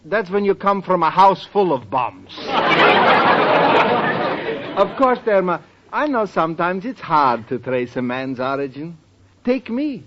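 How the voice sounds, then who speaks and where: An actor playing a wisecracking, eccentric old professor who teases with punchlines, in 1940s radio sitcom acting. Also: male voice, high voice, sing-song, measured pace, pauses often, a loud crowd, very noisy. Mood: joking. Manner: joking, teasing punchlines. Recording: dull vintage radio with audience.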